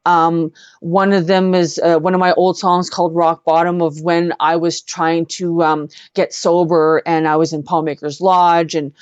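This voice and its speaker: A woman's voice: fairly low pitch, little pitch movement, a little slow, hardly any pauses, clear, resonant tone, dry recording. A woman tells personal stories as a guest in a casual interview. Her manner is effusive and earnest, and she often repeats words for emphasis. The call audio is dull and dry, with little room sound. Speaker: interview guest, singer-songwriter. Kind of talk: casual chat, personal storytelling. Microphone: video call.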